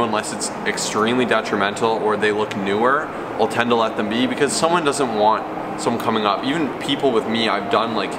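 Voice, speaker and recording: A man's voice: on the low side, distant mic. A young man in his twenties, a fitness YouTuber, answering viewer questions in a casual, joking vlog inside a large gym. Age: 20s. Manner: animated storyteller, quick and joking. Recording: echoey gym hall with steady hum.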